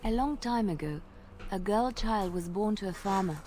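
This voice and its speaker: A woman voicing a graceful female bard in a video game, telling tales in a measured, lilting way. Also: medium pitch, a little mellow, a little hiss or hum, close to an everyday voice. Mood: storytelling. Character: graceful female bard, gentle devout storyteller. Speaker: game character. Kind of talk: voiced game dialogue.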